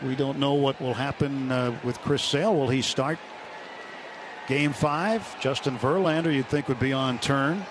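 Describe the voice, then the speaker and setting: A man's voice: medium pitch, unhurried. A man doing live play-by-play baseball commentary on the radio. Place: broadcast booth at a ballpark. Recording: noisy stadium radio broadcast. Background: steady ballpark noise.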